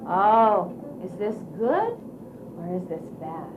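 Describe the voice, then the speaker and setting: Woman, children's puppet-show storyteller, high voice, slow pace, theatrical, expressive, dull and faintly noisy recording. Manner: theatrical, deliberate, coaxing audience questions.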